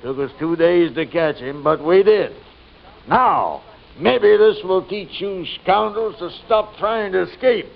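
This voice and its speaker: A man playing a brutal, gloating outlaw boss in an old radio western, with a gravelly, folksy frontier drawl and commanding menace. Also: high-pitched, unhurried. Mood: menacing, gloating. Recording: muffled, noisy old radio recording.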